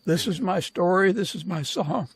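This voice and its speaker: A man's voice: sits high, normal speed, slightly rough, no echo. A man hosting a podcast interview, asking questions in a casual conversational style. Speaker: podcast host.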